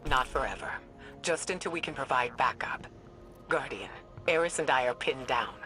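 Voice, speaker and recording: A cautious female commander for a video game. Her voice is bright and clear-edged, and she speaks with grave, measured care. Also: extremely low pitch, animated, faint music behind, full character voice. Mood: worried, cautious. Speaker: commander advising over comms.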